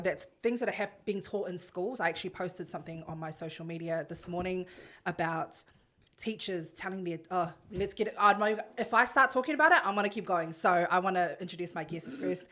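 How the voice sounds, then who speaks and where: A chatty woman hosts a studio podcast, sounding excited and laughing easily. Her voice sits fairly low for a woman. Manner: chatty and enthusiastic, laughs often. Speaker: podcast host. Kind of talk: casual podcast interview.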